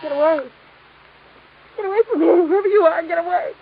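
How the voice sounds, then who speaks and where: A woman's voice: very high-pitched, a little fast. An actress playing a terrified, frantic young woman in danger in a melodramatic 1950s radio noir drama. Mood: hysterical. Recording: muffled old radio recording.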